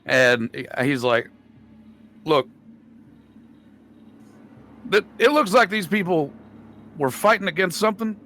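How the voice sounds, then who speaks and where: A man with a slightly high voice discusses a tabletop game as a player. He talks casually and bluntly, with swearing, over faint background noise. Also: lively intonation, slow pace, pauses often, pushed and bright.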